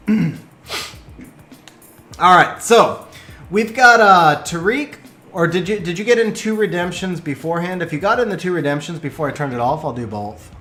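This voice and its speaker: A man giving lively live commentary on game replays and chatting with viewers, his voice getting worn from a long stream. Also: sits high, roomy sound, faint music behind. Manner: animated, quick play-by-play, bursts of excitement.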